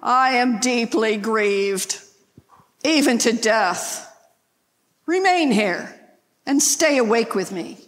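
Grave, solemn Christ figure played by a woman for a church Passion reading: unhurried, long reverb. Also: fairly high pitch, expressive. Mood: grieved. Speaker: scripture reader, church member.

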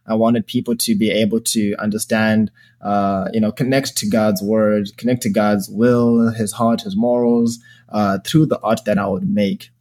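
A man's voice: on the low side, flat delivery, unhurried, darkish tone. A man from Zimbabwe hosting a podcast, sharing his faith in casual, earnest talk. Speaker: podcast host.

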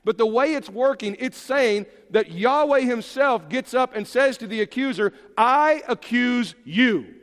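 Animated preacher in a reverberant hall. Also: male voice, extremely high pitch, unhurried, few pauses. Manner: animated, emphatic preaching with sudden drops to quiet. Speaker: pastor. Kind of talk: sermon.

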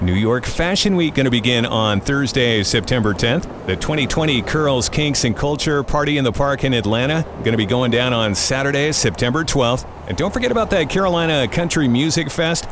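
A man's voice: medium pitch, background noise. A man hosting a radio-style podcast, announcing and bantering in a joking, teasing manner. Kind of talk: morning show banter and announcing. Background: music under the voice.

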